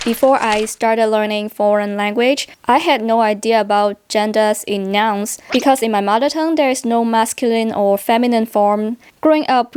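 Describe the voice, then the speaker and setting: A woman from Hong Kong, a native Cantonese speaker with a Hong Kong accent, talking to camera in a measured, deliberately careful way. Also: on the high side, darkish tone, clean tone. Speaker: vlogger. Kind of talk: personal vlog talk to camera.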